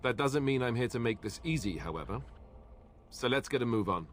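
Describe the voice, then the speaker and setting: An actor playing a young male Grey Warden warrior, steady and dryly teasing. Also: mid-range voice, a little fast, a little hiss or hum, close to an everyday voice. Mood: wry calm. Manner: dry, teasing humor.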